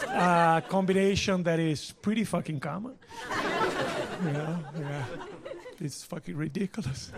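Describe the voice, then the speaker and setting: A man with a Brazilian accent performing stand-up comedy, emphatic and repeating his lines, swearing freely. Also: high voice. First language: Portuguese. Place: live comedy show with audience. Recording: very noisy live stand-up recording. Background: loud noise, audience laughter.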